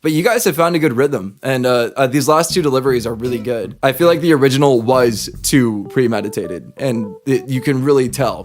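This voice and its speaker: A man with a mid-pitched voice gives casual, spoken critique as a host. He is conversational, supportive and candid. Soft guitar music plays faintly behind him. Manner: conversational, encouraging, frank. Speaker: host judging voice acting auditions.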